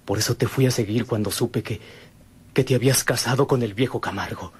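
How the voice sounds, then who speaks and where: An actor playing a tender, remorseful young man in love in a radio drama, speaking earnestly and intimately in a husky voice. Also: medium pitch, brisk.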